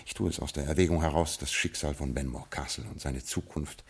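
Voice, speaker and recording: An actor playing a ruined, absent-minded Scottish lord in a 1950s radio drama, with a stately, fatalistic manner. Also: male voice, a bass voice, fairly quick, slightly rough, full character voice. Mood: resigned stately.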